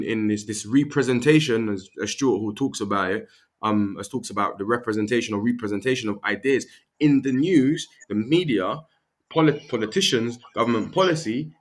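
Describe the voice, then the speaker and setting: A man's voice: mid-range voice, lively intonation, moderate pace. A British man, a podcast guest, explaining his points earnestly and often checking in with "right?" and "okay?". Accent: British English. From England. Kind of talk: conversational podcast interview.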